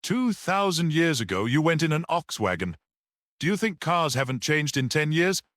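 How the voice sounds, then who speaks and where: A man's voice: on the high side, very animated, moderate pace, a bit bright, dry recording, studio quality, voice pushed up. A man voicing a brash, boastful young motorist in an audiobook, exclaiming and insisting eagerly. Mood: scoffing, boastful.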